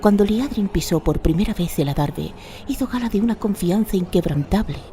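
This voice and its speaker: A woman's voice: medium pitch, lively intonation, a little fast, dark tone. Female radio drama narrator over music. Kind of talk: dramatic scripted story narration.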